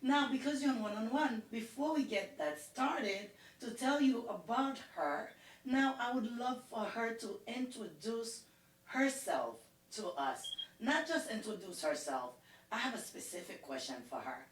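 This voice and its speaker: A woman's voice: fairly high pitch, lively intonation, unhurried, echoey room, far from the mic, low-quality recording. A woman hosting an interview in a playful, teasing way. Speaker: podcast host.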